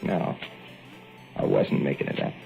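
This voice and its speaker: An actor playing a gruff, secretive small-town handyman who speaks tersely in a gravelly rural voice, in an old radio drama. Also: unhurried, close to an everyday voice. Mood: uneasy. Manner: terse, hushed, rural dialect. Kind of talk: 1940s radio acting. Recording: tinny old radio broadcast, faint music.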